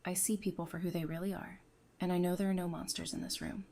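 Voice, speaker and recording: A woman's voice: fairly low pitch, little pitch movement, fast, creaky, warm tone. A woman in an audio drama playing a composed, perceptive psychiatrist turned survivor, earnest and self-assured. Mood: confident.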